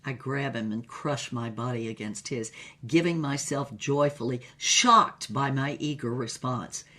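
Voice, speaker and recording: A bold, sultry spy and screen starlet as performed by an actor tells her own adventures. Her narration is theatrical and wry, in a medium-pitched voice suited to audio drama. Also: measured pace, sharp tone. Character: bold, sultry female spy and film star telling her exploits. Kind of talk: dramatic first-person scripted narration.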